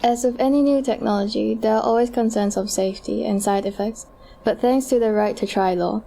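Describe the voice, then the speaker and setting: A woman's voice: medium pitch, warm tone, natural voice. A woman playing an informative, persuasive medical adviser in a scripted audio drama. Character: future medical adviser pitching donor-heart scaffolds, informative, persuasive.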